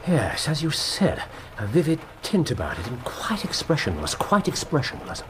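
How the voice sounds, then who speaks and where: An actor playing a cool, commanding Victorian gentleman detective, precise and theatrical, in a period radio drama. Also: fairly high pitch, wildly swooping pitch, moderate pace, noisy background. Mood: cool, observant.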